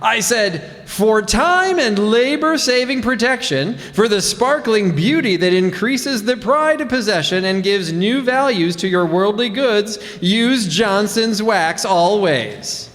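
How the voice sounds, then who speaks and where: A man acts a chipper salesman pal from an old-time radio comedy. His voice is high and very animated, with breezy pitchman patter, heard in a hall with a long reverb. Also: takes it slowly, hardly any pauses, heavily acted. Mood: salesman enthusiasm. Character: chipper, smooth-talking salesman pal of the couple.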